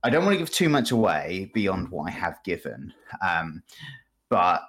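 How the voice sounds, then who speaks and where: A man hosting a podcast, explaining things casually in a rambling, halting way with asides. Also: sits low, very animated, a bit bright, breathy edge. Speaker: podcast host. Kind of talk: casual video game review chat.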